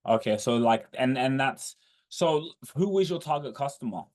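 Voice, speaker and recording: A man's voice: medium pitch, expressive, moderate pace, darkish tone. A man hosting a podcast, asking long, rambling questions with encouraging restarts. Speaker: podcast host. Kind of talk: casual podcast interview.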